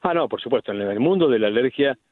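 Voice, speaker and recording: A middle-aged man with an Argentine accent, a medical specialist explaining things deliberately in a radio interview, with frequent restarts. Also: medium pitch, expressive, dry recording. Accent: Argentine Spanish. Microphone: phone line. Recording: tinny phone line, dry.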